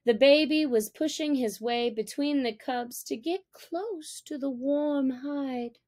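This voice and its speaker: A woman's voice: high-pitched, slow pace, darkish tone. A woman reading scripted storybook narration as an audiobook narrator.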